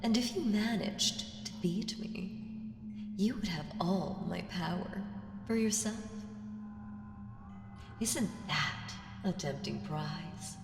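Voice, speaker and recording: A woman voicing an ancient evil entity in an ASMR audio roleplay, smug, seductive and condescending, with a teasing delivery that slips into breathy whispers. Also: fairly low pitch, slow, pauses often. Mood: conspiratorial, tempting. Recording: echoey hall reverb, distant, music behind.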